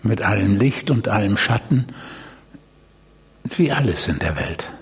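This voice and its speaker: A man guiding a body-awareness meditation, giving gentle instructions in short phrases with long pauses. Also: lowish voice, animated. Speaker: meditation guide. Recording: echoey hall, distant mic, noisy.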